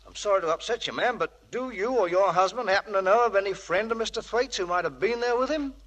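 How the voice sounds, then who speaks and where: An actor playing a polite, apologetic police inspector, formal and deferential, in old radio drama style. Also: male voice, high voice, fast, a bit bright. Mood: grave, formal. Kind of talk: 1940s radio acting.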